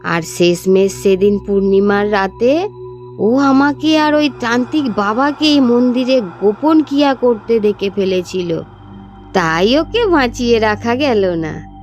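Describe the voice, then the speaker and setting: A woman playing a cruel older matriarch who practises dark tantra, confessing in a drawn-out, taunting way with wicked relish, in a horror cartoon. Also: on the high side, lively intonation, faint music behind. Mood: taunting, menacing. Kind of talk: dramatic horror cartoon voice acting.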